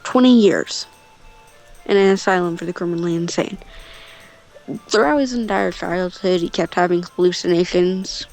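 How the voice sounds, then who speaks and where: A woman's voice: lowish voice, normal speed, pauses often, darkish tone, faint music behind, low-quality recording. A woman telling a story casually and off the cuff, rambling, with offhand asides. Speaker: storyteller on a gaming channel.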